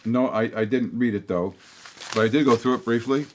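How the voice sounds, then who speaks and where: An older man hosting a local public-access opinion show, talking bluntly in a meandering way with many restarts and asides. His voice is slightly hoarse from a throat tickle. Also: mid-range voice, fairly quick, slight background noise. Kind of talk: rambling opinion monologue to camera.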